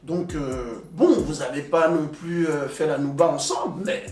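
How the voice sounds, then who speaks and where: A young man who grew up in Belgium, telling his own experiences in a relaxed group talk with many fillers and pauses. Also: on the high side. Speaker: panel guest. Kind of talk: casual conversation. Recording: echoey room, distant mic, soft music.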